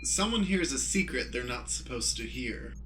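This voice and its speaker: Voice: medium pitch, big pitch swings, moderate pace, fairly bright tone, faint music behind. A radio play stage manager narrating stage directions. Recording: light background noise.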